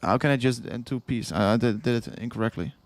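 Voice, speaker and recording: A man's voice: mid-range voice, quick pace, no room sound. A young man with a Dutch accent talks loosely to his livestream chat, repeating phrases and chuckling between thoughts. He sounds tired from hours of streaming, his voice sitting mid-range in a dry, close recording. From Netherlands. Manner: rambling, repeats himself, chuckles often, reads chat aloud. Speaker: crypto livestream host.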